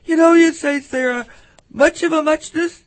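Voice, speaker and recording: A woman voicing a small, sleepy, sulky male dormouse with a hoarse, feeble storybook voice. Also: high-pitched, moderate pace, pitched above the performer's own voice, natural voice. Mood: drowsy rambling. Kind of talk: acted storybook character voice.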